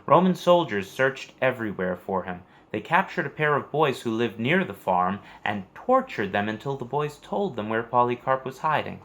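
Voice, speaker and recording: Guy reading a kids storybook out loud, expressive, normal mid pitch. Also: moderate pace, few pauses. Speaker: storyteller reading a children's book aloud. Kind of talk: scripted read-aloud storytelling for children.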